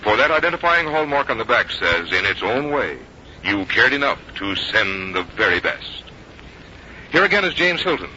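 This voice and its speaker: An American man working as a radio announcer, reading scripted 1950s copy in a polished, measured way. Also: mid-range voice, big pitch swings, sharp tone, a little hiss or hum. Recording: dull vintage radio recording with music.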